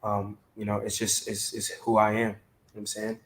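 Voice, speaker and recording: A man from Maryland answers casually as an interview guest. His voice sits fairly low and stays very even in pitch, with colloquial, filler-heavy phrasing. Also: a little fast. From Maryland, DC area, United States. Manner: colloquial, peppered with "you know". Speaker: interview guest, talent manager.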